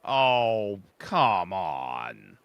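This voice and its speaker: A man's voice: fairly low pitch, big pitch swings, extremely slow, smooth, no echo, dull audio. A man taking part in a tabletop game as a player, joking casually with the group.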